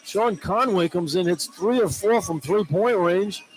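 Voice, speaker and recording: A man's voice: high-pitched, lively intonation, a little slow, rough recording. A man working as a radio color analyst, talking through a basketball game in a conversational way. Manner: repeats and restarts phrases to explain tactics. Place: indoor basketball arena.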